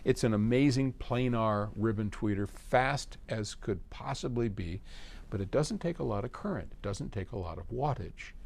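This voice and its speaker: A man's voice: lowish voice, lively intonation, moderate pace, gravelly, darkish tone. A man hosting a casual explanatory talk, answering questions in a folksy, colloquial and emphatic way. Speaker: host answering listener questions.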